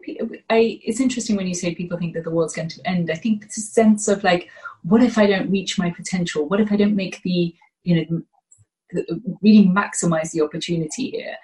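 A woman's voice: lowish voice, darkish tone, poor audio. A woman in her forties, a podcast guest, telling reflective stories, often correcting herself and laughing. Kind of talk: reflective interview storytelling.

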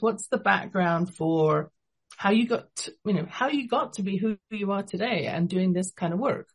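A woman's voice: fairly low pitch, breathy edge. A woman with an English accent, hosting a radio interview show and introducing her guest with enthusiasm. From England.

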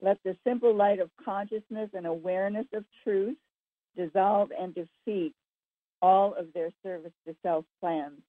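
A woman's voice: medium pitch, steady tone, normal speed, no room sound. A woman caller earnestly speaking a prayer-like intention in declarative phrases over a narrow phone line. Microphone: telephone.

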